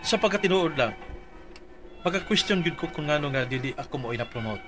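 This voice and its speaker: A man's voice: a little high, animated, a little fast, over music. A man reading out his dramatized complaint letter for a radio advice show, earnest and pleading.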